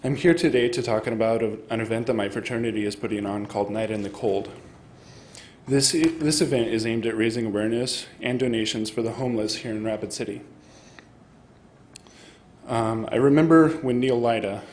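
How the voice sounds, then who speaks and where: A man's voice: on the low side, a little mellow, a little hiss or hum. A young man from South Dakota, a student, giving a polite, slightly nervous prepared comment to a city council. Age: young adult. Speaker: student fraternity president.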